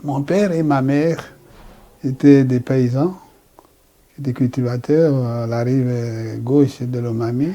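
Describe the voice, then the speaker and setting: An older man from Congo, a pastor recounting his life story slowly and haltingly. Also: mid-range voice, steady tone, dark tone. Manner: slow, halting, repeats words. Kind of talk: personal testimony.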